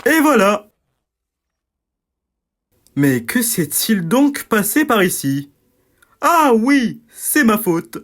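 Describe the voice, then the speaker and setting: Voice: medium pitch, sing-song, very slow, pauses often, heavily acted. An actor voicing a theatrical, bombastic male ringmaster of a digital circus in a French-language cartoon dub, with grandiose showman delivery. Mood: bombastic, alarmed. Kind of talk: animated series dub.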